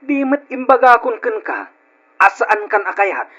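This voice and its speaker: A man's voice: extremely high pitch, big pitch swings, a little slow, sharp tone, full character voice. An actor playing a cold, callous young man in an old-style radio drama, curt and dismissive, with an Ilocano accent. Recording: dull lo-fi old radio sound.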